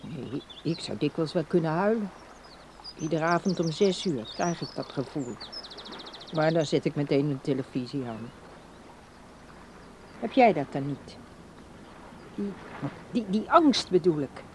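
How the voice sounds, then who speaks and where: Older woman, very animated, pious, insistent, pleading, radio play, slight outdoor background noise. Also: low-pitched, a little slow, long pauses. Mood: sad, needy. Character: lonely, pious older woman, coaxing and needy.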